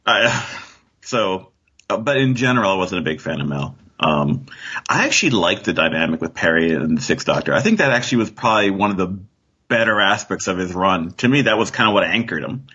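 A man with a lowish, husky voice and very lively intonation talks casually as a guest. He hedges his opinions as he goes, and the recording is slightly dull. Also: a little fast. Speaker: podcast guest, author.

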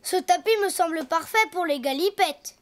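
A woman voicing a cheerful, curious little boy donkey in a cartoon, eager and lively. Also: extremely high pitch, normal speed, soft background music.

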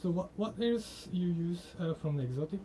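A man asking casual interview questions in halting phrases, picked up away from the microphone. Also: high voice, lively intonation, mellow. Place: recording studio, off camera. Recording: off-mic questions with clear background noise.

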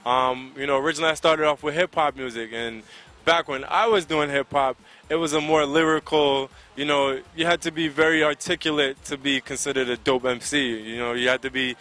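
Bright-voiced American man in casual interview. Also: a little high. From Connecticut, United States. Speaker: soca singer being interviewed. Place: outdoors by a riverside. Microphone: handheld microphone held close to the mouth.

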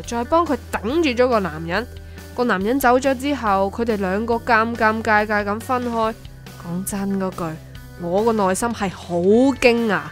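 A woman's voice: on the high side, close to an everyday voice. A woman with a Hong Kong accent playing a sardonic, protective young woman in a radio drama. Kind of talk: Cantonese radio drama acting.

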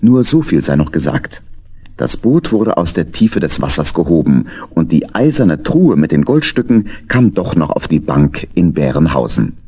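A man's voice: rumbling low, big pitch swings, moderate pace, mellow, slightly rough. A man narrating a children's audio drama in scripted storybook style.

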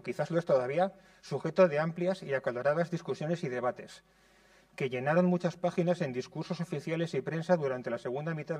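A man in his thirties from Spain, reading a prepared lecture in a measured way in a conservatory lecture room. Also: a little high, darkish tone, dry recording, recorded from a distance. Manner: measured, reads from notes.

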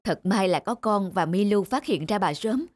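A woman voicing a gentle, caring young mother in a cartoon, with a soothing, reassuring manner. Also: medium pitch, expressive, moderate pace, soft background music, lightly acted. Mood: relieved. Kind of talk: cartoon voice acting.

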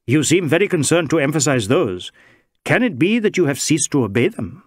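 A man voicing a stern male police inspector who presses questions sharply and deliberately. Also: on the high side, very animated, no echo, studio quality. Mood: probing.

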